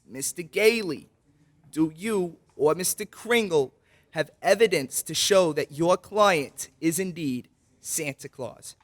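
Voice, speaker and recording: A man's voice: a little high, animated, takes it slowly. A man playing a stern, pompous courtroom judge in an old-time radio drama, formal and declamatory. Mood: solemn.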